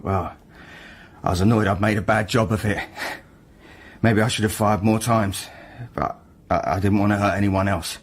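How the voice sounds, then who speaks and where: An actor playing a bitter, ruined working-class man confessing to murder in a radio drama, halting yet defiant. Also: on the low side, steady tone, natural voice. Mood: reflective, halting. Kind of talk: naturalistic radio drama acting.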